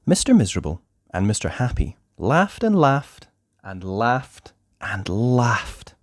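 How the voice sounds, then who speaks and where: A storyteller reading a children's book aloud in a theatrical, deliberate way with drawn-out emphasis. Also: medium pitch, sing-song, no room sound, studio quality. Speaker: storybook narrator. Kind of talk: expressive children's read-aloud narration.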